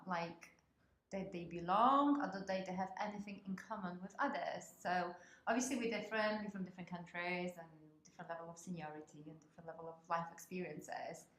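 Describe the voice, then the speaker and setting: A woman's voice: on the low side, a bit bright. A woman with a Polish accent interviewing two colleagues in a friendly way about work. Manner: friendly, asks questions, laughs easily. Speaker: interviewer, people partner. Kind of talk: workplace interview. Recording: roomy office with faint noise.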